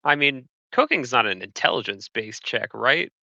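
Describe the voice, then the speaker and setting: A man chats casually as a player in a tabletop game. His voice is very bright with a gravelly fry and animated pitch, captured close and dry with slightly dull fidelity. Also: moderate pace, no echo. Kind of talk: casual game chat, speaking for his character in third person.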